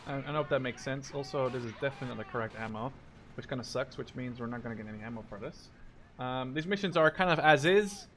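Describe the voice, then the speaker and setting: A man's voice: mid-range voice, quick pace, a bit bright, a little hiss or hum. A man in his twenties from the Netherlands, a native Dutch speaker, giving casual, rambling and informal commentary over gameplay. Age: 20s. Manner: rambling, digressive, informal with mild swearing. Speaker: gaming video creator.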